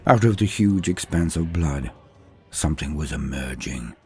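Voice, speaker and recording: Male narrator, low and husky, grave theatrical delivery of war fantasy, music underneath. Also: animated, normal speed. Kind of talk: dramatic scripted fantasy battle narration.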